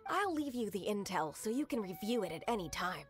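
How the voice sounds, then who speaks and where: Game role: young female informant, voiced by an actress, earnest, mid-pitched, faint music underneath. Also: very animated, a little fast, lightly acted. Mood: confiding. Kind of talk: anime video game dialogue.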